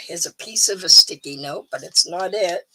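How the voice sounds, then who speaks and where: Slow, bright-voiced chatty woman. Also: on the low side, rough recording. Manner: rambling, chatty, thinks aloud with ums. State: slightly hoarse. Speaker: live stream host. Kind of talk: casual chat to viewers.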